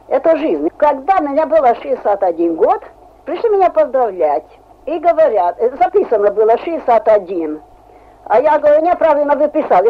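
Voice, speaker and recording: A woman in her seventies from Hungary, with a Hungarian accent, telling lively stories in a halting, laughing way in a radio interview. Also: high voice. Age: 70s. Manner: lively storytelling, halting speech, laughs often. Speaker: interviewee, amateur skater. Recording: tinny phone-like old radio recording.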